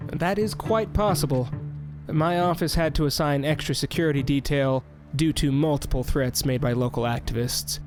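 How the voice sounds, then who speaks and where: An actor playing a male mayor who dodges questions with hesitant, smooth talk. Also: fairly high pitch, moderate pace, music in the background, natural voice. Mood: evasive. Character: evasive middle-aged male mayor. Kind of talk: audio drama acting.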